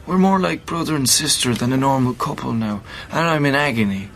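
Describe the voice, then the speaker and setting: A man's voice: medium pitch, fairly quick, a bit bright, a little hiss or hum, natural voice. An actor with an Irish accent playing a seriously ill man of thirty, blunt and sardonic, in a naturalistic radio play. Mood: weary, serious. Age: 30s. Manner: dry, blunt, colloquial.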